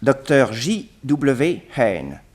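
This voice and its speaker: An actor playing an eager young male assistant in a radio drama, reporting briskly. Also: mid-range voice, sing-song, darkish tone, heavily acted.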